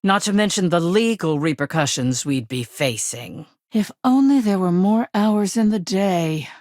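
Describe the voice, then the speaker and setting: A woman playing a brisk, guarded, impatient corporate lawyer in a video game, speaking in a crisp, formal, curt way. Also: lowish voice, animated, a little mellow, dry recording, studio quality. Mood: businesslike, curt. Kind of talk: scripted game dialogue.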